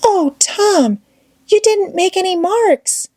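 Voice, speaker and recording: A woman's voice: extremely high pitch, expressive, slow, a little mellow, pitched above the performer's own voice, exaggerated voice. A woman voicing a frightened young girl in a storybook, anxious and pleading. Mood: panicked, tearful. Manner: pleading, apprehensive. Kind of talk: children's novel read aloud.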